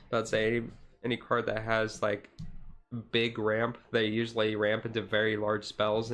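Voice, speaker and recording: A man's voice: fairly low pitch, fairly bright tone. A man livestreaming in a casual, laid-back, rambling way with informal, jokey slang.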